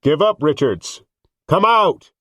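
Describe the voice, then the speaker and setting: A stern police officer barking loud, clipped orders through a bullhorn, as a male narrator acts him out. The voice rises well above the reader's own low tone. The sound is close and dry. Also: sing-song, slow pace, no echo, full character voice. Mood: commanding.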